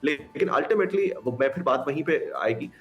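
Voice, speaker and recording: A man's voice: on the high side, lively intonation, moderate pace, faint music behind. A man with a Pakistani accent speaks as an expert guest, explaining earnestly and switching between Urdu and English, with emphasis on key words. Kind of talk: interview commentary mixing Urdu and English. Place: remote video call.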